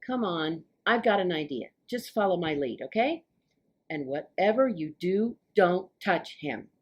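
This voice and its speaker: A wary, stubborn girl in a storybook, played by a woman reading aloud. She is wry and sarcastic, with a lively swing in pitch and a slightly husky edge. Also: unhurried, full character voice. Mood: bossy, urgent. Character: wary, sarcastic girl narrator of a children's novel.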